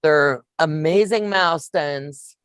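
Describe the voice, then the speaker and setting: A woman in her fifties hosting a sewing livestream, chatting warmly with viewers and explaining each step as she works. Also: low voice, slow pace, clean tone, no room sound. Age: 50s. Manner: homey, calls viewers baby, narrates each step. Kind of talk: casual sewing demonstration chat.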